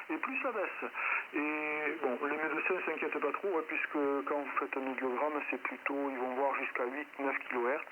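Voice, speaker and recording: Homme à la radio amateur, grésillements forts. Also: male voice, fairly high pitch. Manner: didactic, explaining step by step with hesitant rephrasing. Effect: amateur radio transmission. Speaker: amateur radio operator giving a technical talk. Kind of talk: informal technical lecture. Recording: narrow-band shortwave radio transmission, loud static.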